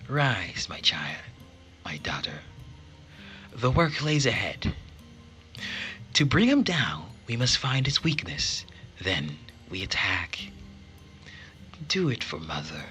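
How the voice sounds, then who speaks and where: A man voicing a stern, vengeful mother from a visual novel, speaking slowly and solemnly like an incantation, often dropping to a whisper. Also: lowish voice, very animated, pushed and bright, faint music behind, heavily acted. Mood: commanding, menacing. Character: stern vengeful mother casting a curse, middle-aged woman. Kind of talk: dramatic reading of a visual novel.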